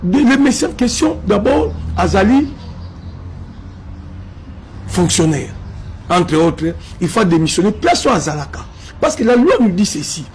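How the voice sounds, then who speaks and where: A man's voice: high voice, big pitch swings, a little slow, long pauses. A man from Congo with a Congolese French accent giving impassioned political commentary, emphatic and repetitive, slipping in Lingala phrases.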